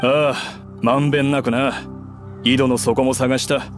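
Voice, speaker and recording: A man's voice: mid-range voice, little pitch movement, unhurried, music in the background, natural voice. An actor playing a troubled mine foreman in an anime game, talking in a casual, plain-spoken way. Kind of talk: Japanese anime game voice acting.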